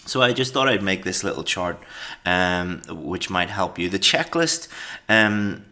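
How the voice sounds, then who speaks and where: A man with a very low voice and a bright, forceful edge to it. He explains grammar step by step to exam students and keeps filling his pauses with ums and restarts. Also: lively intonation. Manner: explanatory, many fillers and restarts. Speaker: English exam teacher. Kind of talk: recorded online lesson.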